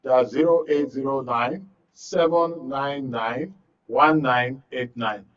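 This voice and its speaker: A man's voice: medium pitch, takes it slowly, a bit bright. Nigerian male radio host, distant dull sound. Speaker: radio talk show host. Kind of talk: live phone-in hosting.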